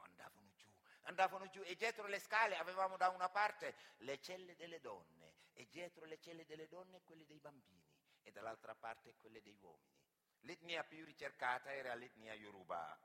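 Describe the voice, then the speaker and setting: A man from Senegal with a Senegalese accent, an actor and griot performing a dramatic, declamatory theatrical monologue. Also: a little high. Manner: dramatic, declamatory, swinging between raised voice and hushed delivery. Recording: reverberant hall with long echo.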